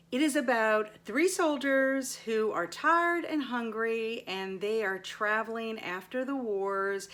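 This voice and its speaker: A woman's voice: fairly high pitch, a bit bright. A woman giving a casual book review, recapping stories as a host and stressing words with emphasis. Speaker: teacher.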